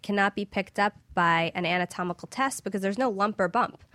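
Female practitioner as radio interview guest. Bright, dry-sounding voice, conversational and explanatory. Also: medium pitch, fairly quick, no room sound. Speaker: guest infrared scanning practitioner.

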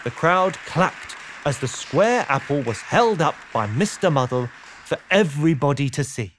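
A man's voice: on the high side, expressive, moderate pace, background noise. A man narrating a children's storybook as an audiobook, in a playful way that invites the listener in. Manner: playful, asks the listener rhetorical questions.

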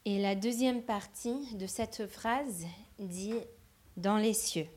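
Woman translating a sermon live, slow, stops and restarts a bit. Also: medium pitch, darkish tone. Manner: translating phrase by phrase with hesitations. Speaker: interpreter.

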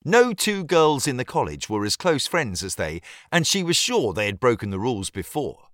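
A British man narrating a period novel from a script, precise and measured with dry wit. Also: medium pitch, very animated, a bit bright, no room sound. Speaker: audiobook narrator.

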